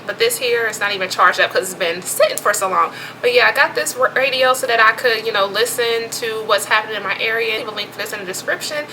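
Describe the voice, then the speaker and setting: A woman's voice: fairly high pitch, bright, forceful tone, slight background noise, rough recording. A woman vlogger talking casually and chattily as she shows off products, with informal asides. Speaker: vlogger presenting emergency gear. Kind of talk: casual product show-and-tell.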